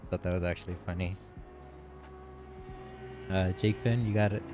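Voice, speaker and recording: Low-voiced man hosting a casual racing stream. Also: steady tone, normal speed, over music. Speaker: race broadcast host interviewing drivers. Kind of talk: casual livestream post-race interview and sign-off.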